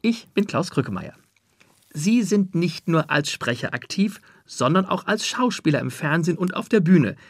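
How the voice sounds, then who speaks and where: A man's voice: on the high side, very animated, normal speed, dry recording, clean studio sound. A man hosting a radio interview, moving between prepared introductions and conversational questions to his guest. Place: radio studio.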